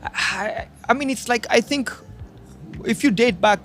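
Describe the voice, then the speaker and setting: A man's voice: very high voice, unhurried, a bit bright. A man from Nairobi with a Kenyan accent, chatting as an interview guest in a laid-back, reflective, slangy way. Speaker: guest, street artist. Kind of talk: casual reflective chat.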